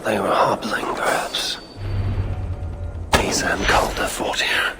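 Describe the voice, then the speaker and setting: An actor playing a grim, sardonic, arrogant older Norse god in a video game, muttering in a gravelly, measured, haughty way. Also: male voice, in a whisper, over loud music, lightly acted. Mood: grim musing. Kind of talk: dramatic video game voice acting.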